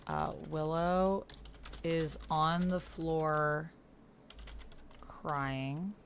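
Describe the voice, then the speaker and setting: A woman with a slightly low voice talks casually through an online roleplaying game. She thinks aloud in halting phrases, reading chat and her own typed lines. Light noise and keyboard typing sit behind her. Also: fairly even intonation. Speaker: streamer and player of a text-based roleplaying game. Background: computer keyboard typing.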